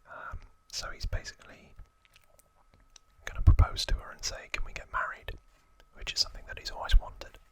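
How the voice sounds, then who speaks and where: This is an ASMR host speaking in a steady, unhurried whisper. The sound is crisp and bright, kept close to the mic. The delivery is casual and chatty, like relaxed storytelling. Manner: casual, informal recap with repeated words for effect.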